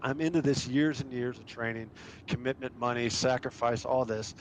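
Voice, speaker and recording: A man's voice: mid-range voice, steady tone, moderate pace, poor audio. Man, middle-aged, from Germany, casual storytelling, enthusiastic, faint background hiss. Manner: enthusiastic, anecdotal. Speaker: guest speaker, adventurer and filmmaker.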